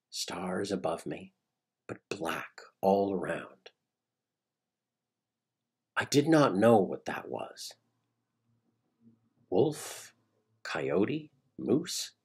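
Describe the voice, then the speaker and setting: A middle-aged Canadian man performs an autobiographical monologue with a husky edge. His delivery swings widely in pitch as he builds suspense through theatrical phrasing and deliberate pauses. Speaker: playwright reading his own play. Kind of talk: dramatic autobiographical monologue, storytelling.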